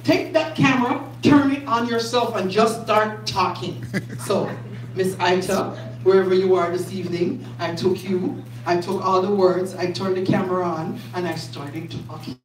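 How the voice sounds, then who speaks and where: A woman emcee speaks to a room full of people, repeating words for emphasis and building warmth as she goes. Her voice comes from across a very echoey space, picked up from a distance. Steady background noise sits under everything. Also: on the low side, moderate pace. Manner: warm, repetitive, emphatic, animated. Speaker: event host. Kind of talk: live event speech to a crowd. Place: community event space indoors.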